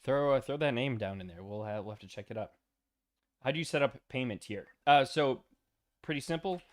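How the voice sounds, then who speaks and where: A man's voice: mid-range voice, expressive, fairly quick. Tired man hosting a casual livestream. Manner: loose and rambling, many ums and uhs, greets chat by name. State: tired and losing his voice. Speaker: livestream host.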